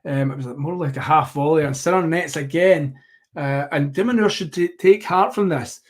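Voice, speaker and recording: A man hosting a live tennis watchalong, commentating play by play in a rambling, self-correcting way, slightly hoarse. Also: a little high, normal speed.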